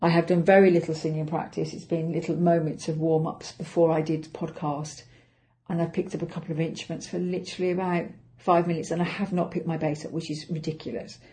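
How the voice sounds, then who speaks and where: A woman's voice: low-pitched, level tone, fairly quick, dark tone. A middle-aged English woman, an actress, talking through her week on a casual solo podcast in a chatty, self-deprecating way, thinking aloud as she goes. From England.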